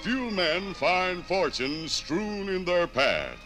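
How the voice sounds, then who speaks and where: An actor playing ancient, self-important iron ore come to life, orating pompously in a 1950s radio drama. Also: male voice, high voice, very animated, takes it slowly, bright, forceful tone, faint music behind, full character voice.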